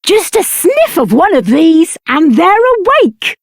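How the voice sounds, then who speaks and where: Casting: eager, explaining villager for a game, high, unhurried, dry sound. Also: female voice, big pitch swings, dry recording, heavily acted. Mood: helpful. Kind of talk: video game character acting.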